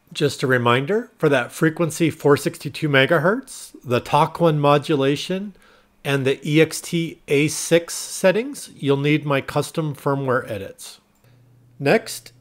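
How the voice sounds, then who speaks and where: A man hosting an instructional tutorial, narrating step by step in a plain, methodical way. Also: a little high. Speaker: tutorial host.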